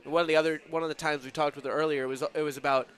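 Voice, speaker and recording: Slightly high-voiced male color commentator. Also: little pitch movement, racing, clean tone. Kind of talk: conversational sports analysis.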